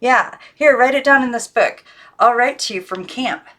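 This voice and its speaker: A woman's voice: fairly high pitch, normal speed, fairly bright tone, pitched above the performer's own voice, lightly acted. A woman voicing an inquisitive schoolgirl in an eager, questioning way for a storybook. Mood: curious, surprised. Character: Barbara, inquisitive schoolgirl saying goodbye.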